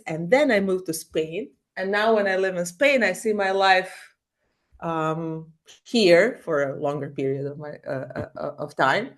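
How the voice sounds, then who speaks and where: A woman from Croatia speaking as a podcast guest, animated and full of fillers and restarts. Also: on the low side. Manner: animated, halting non-native English with many fillers. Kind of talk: casual interview.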